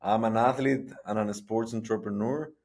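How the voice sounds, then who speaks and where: A middle-aged man from Guatemala, speaking as a podcast guest in halting, restarting sentences. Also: on the low side, steady tone, a little mellow. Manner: halting, frequent restarts and repetitions. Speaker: guest, sports entrepreneur. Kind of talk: conversational interview.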